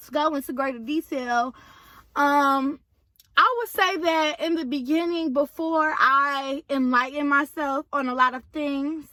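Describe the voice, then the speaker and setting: Woman vlogger, a bit hoarse, high bright voice, chatty and direct with viewers, dry sound. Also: no room sound. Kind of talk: casual chat to viewers.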